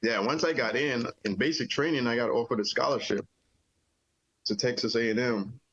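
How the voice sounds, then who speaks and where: A man's voice: mid-range voice, moderate pace, husky, dry recording, lo-fi sound. A middle-aged man from Jamaica, an army veteran telling his story in a casual interview, rambling easily with many fillers. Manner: relaxed, rambling with many "you know" fillers.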